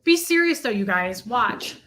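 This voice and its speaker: A woman's voice: fairly high pitch, sing-song, a little slow, a bit bright. A woman hosting a casual livestream from home, chatting and reacting to viewer comments. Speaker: livestream host reading chat. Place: home room with a heater.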